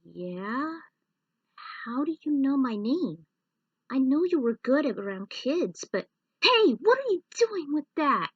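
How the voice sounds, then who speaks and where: A woman's voice: high voice, very animated, slow pace, dry recording, highs cut off, pitched above the performer's own voice, exaggerated voice. A woman voicing a doubtful, upset young boy in a story reading, urgent and questioning. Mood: suspicious.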